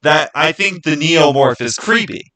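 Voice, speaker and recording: Halting man chatting on a livestream. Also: a little high, animated, bright, forceful tone. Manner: halting, repeats words and phrases. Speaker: livestream host. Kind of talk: casual livestream chat with viewers.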